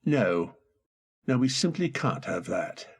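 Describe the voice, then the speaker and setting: A man's voice: mid-range voice, sing-song, takes it slowly, slightly rough, exaggerated voice. A man in a community radio drama playing a brooding, wealthy widower who turns abruptly commanding. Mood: insistent. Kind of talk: old-time radio drama acting.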